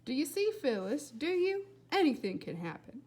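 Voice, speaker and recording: A woman's voice: high voice, very animated, moderate pace, a little mellow, pitched above the performer's own voice. Woman reading as an amazed, mild-mannered dad. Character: amazed, good-natured father, adult man.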